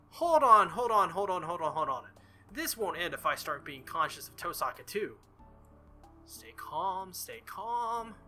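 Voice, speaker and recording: A man's voice: high-pitched, pushed and bright, full character voice. A man voicing an earnest, flustered teenage visual novel hero in a hesitant, stammering, insistent way.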